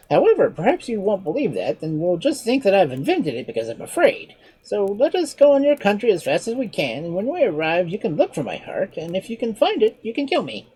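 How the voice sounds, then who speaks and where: A woman's voice: medium pitch, dark tone, husky, voice pushed up, full character voice, poor audio. A woman voicing a clever, playful male monkey in a storybook reading, with a chirpy, teasing manner.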